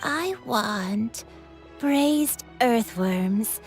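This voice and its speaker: A woman playing a gentle, forgetful granny in a video game, musing dreamily in a soft, youthful-sounding voice. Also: fairly high pitch, lively intonation, very slow. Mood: dreamy, musing. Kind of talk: video game character acting. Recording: faint music behind the voice.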